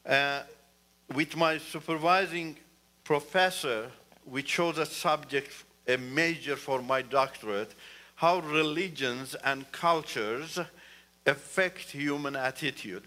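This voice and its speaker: Man, guest church speaker, earnest, emphatic, bright tone. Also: mid-range voice. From Iran. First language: Turkish. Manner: earnest, repeats "you know", rises emphatically. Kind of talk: testimony talk in church.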